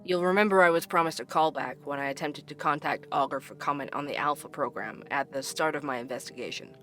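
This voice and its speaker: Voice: medium pitch, fast, a bit bright, faint music behind, close to an everyday voice. An actor playing a dry-witted, persistent podcast host in an audio drama, narrating and interviewing conversationally. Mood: probing, conversational. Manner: wry, conversational.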